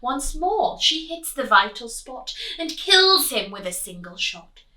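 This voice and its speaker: A woman teacher reading a rhyming storybook aloud to children in a sing-song, animated way, with little asides to her listeners. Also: high-pitched, unhurried, distant mic. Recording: echoey room, voice from the mic.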